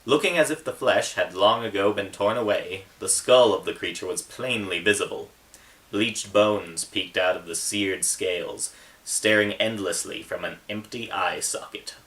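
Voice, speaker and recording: A man with a fairly low, bright and forceful voice speaks as an author presenting his own writing. The microphone sounds set well away from him. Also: normal speed, recorded from a distance, poor audio. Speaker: author reading from own book. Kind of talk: casual book intro, then narrated reading.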